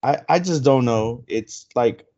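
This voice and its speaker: Guy whose first language is spanish rambling on a podcast, lots of likes and restarts, middle pitch, kinda muffled sound. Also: unhurried, mellow. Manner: rambling, many fillers and restarts, swears casually. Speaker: podcast co-host. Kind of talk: casual podcast chat. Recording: dull lo-fi sound.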